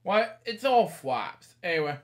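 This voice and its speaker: A man's voice: high-pitched, sing-song, measured pace. A man in his thirties reading a picture book aloud in a small bedroom, rambling with casual asides and stock phrases.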